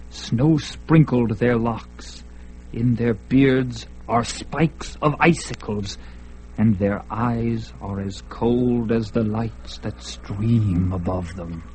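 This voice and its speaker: A man giving dramatic scripted narration for a radio drama, measured and intense. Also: medium pitch, darkish tone, a little hiss or hum, rough recording. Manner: dramatic, measured, rising with the action.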